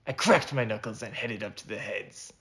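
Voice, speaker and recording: A man's voice: lowish voice, moderate pace, vocal fry, pushed and bright. A man reading his own short story as a dramatic, suspenseful narration with theatrical delivery.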